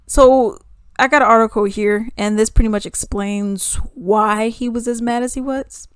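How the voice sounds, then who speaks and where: Woman, casual video commentary; animated delivery, swings loud to hushed; close, dry recording. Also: on the high side, moderate pace, clear, resonant tone, no echo. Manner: animated, chatty, swings between loud emphasis and hushed asides. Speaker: YouTube commentator.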